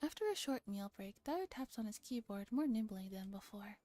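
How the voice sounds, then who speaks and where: A woman streamer reading a visual novel aloud in a soft, relaxed ASMR style, sometimes slipping into a whisper, with casual chat. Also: mid-range voice, fairly quick, dry recording. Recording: music playing behind the voice. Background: music.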